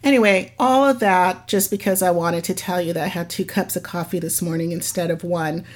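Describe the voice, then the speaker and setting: A woman's voice: on the low side, steady tone, fairly quick. A woman with a scratchy voice hosting her own podcast, talking on her own in a rambling, chatty way and laughing at herself. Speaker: podcast host. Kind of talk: casual solo podcast monologue.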